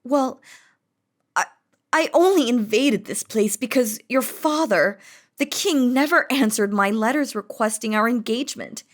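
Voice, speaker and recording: Casting: female performer as feared demon queen, higher-pitched, unhurried, possessive and lovestruck, imperious delivery for romantic audio drama. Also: close to an everyday voice. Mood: insistent, irritated. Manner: imperious, dramatic, threatening yet doting. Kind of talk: scripted romantic audio roleplay.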